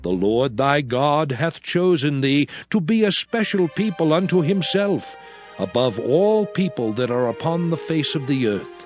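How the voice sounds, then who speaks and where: A man's voice: a little high, expressive, unhurried. An actor playing a solemn, commanding prophet who addresses his people in dramatized, oratorical scripture with archaic English. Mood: solemn warning. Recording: loud music bed under voice. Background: music.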